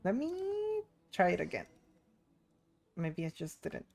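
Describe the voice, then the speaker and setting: A woman's voice: medium pitch, huge pitch swings, slow pace, creaky, a little mellow. A woman livestreaming a game, chatting casually and reading dialogue aloud in a playful, giggly manner. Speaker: streamer.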